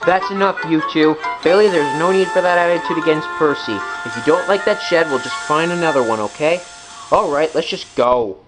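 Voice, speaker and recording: A man voicing a calm, sensible tram engine in a children's train story, measured and reasonable. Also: on the high side, music in the background, lightly acted, low-quality recording. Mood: firm.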